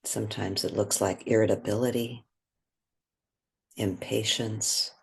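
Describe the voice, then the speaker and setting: Man, meditation guide, very slow pace, soft breathy voice, gentle delivery, long pauses. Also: lowish voice, fairly even intonation.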